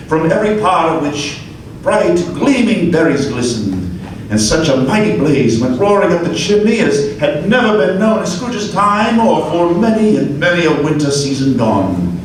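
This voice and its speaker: A man narrating a classic ghost story aloud in a live radio play, with measured, vivid storytelling. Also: medium pitch. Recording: echoey hall, far mic, noise.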